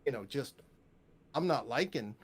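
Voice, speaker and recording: A man's voice: mid-range voice, expressive, measured pace, slightly rough. A man from the United States chatting casually as an interview guest, opinionated and emphatic, often repeating phrases for weight. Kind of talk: casual chat.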